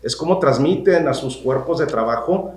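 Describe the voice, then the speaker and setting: A man's voice: medium pitch, lively intonation, normal speed, roomy sound. A man, a podcast co-host speaking formally to listeners, with hesitant restarts. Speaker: podcast co-host, university professor. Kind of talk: panel discussion on a podcast.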